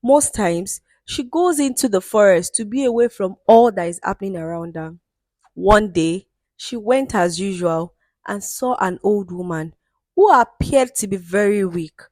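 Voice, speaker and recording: A woman narrating a folktale in an expressive, dramatic storytelling manner. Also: mid-range voice, measured pace, no room sound.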